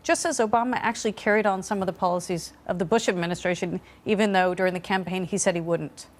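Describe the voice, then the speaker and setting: A woman's voice: on the low side, steady tone, fast talker. A woman giving analysis on a news panel in a conversational, explanatory way. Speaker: political analyst, studio guest.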